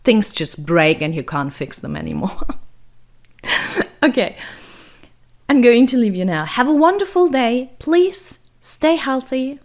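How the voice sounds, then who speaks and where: A young woman from Germany with a hoarse, raspy voice and vocal fry, chatting through a travel vlog voice-over in a rambling, self-deprecating way. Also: a little high, very animated, unhurried, pauses often, darkish tone. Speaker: travel vlogger. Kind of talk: voice-over narration.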